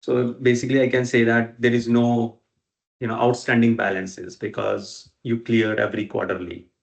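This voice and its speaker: A man in a work meeting asking questions and checking his understanding, halting as he goes. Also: medium pitch, flat delivery, vocal fry. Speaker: interviewer documenting a work process. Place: recorded online work meeting.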